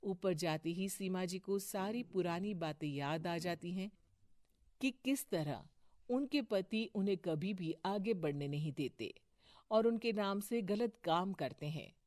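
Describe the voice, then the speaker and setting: A woman narrating a cartoon moral story in a steady storytelling voice. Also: on the low side, measured pace, no room sound, studio quality.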